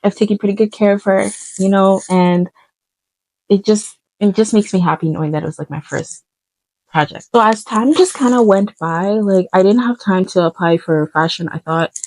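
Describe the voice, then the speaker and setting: A woman's voice: mid-range voice, normal speed, darkish tone, no echo, low-quality recording. A woman, chatting casually in a vlog about her path into fashion design, with lots of fillers and self-corrections. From Uganda. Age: 20s. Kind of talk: casual vlog storytelling while doing makeup.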